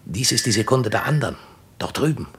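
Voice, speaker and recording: An actor playing an irritable, duty-obsessed general in a radio drama, with a curt and authoritative manner. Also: male voice, medium pitch, lively intonation, brisk, noisy background, close to an everyday voice. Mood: tense, dogged. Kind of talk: German radio drama acting.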